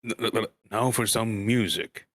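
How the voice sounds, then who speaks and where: Man putting on a loud, commanding dwarf leader. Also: fairly low pitch, steady tone, moderate pace, dry recording. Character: Thorin, proud commanding male dwarf leader. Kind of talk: amateur dramatic storybook reading.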